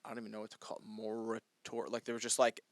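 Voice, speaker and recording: A man's voice: fairly low pitch, monotone, rapid-fire, dry recording, studio quality. A man from New Jersey hosting a casual interview podcast, talking loosely with plenty of "like" fillers. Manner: chatty, lots of "like" fillers. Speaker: podcast host.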